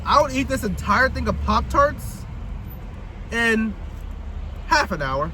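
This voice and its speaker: A hoarse young man talking to camera while driving, rambling and joking at his own expense. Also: very high-pitched, big pitch swings, slow pace. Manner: rambling, joking, self-mocking. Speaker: YouTuber. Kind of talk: casual driving vlog monologue. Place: inside a car. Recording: distant mic with loud road noise.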